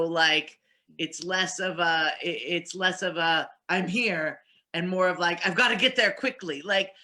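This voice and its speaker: A woman's voice: fairly low pitch, sharp tone. A woman, an actor on a panel, chatting casually and telling things with acted-out examples. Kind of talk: casual chat.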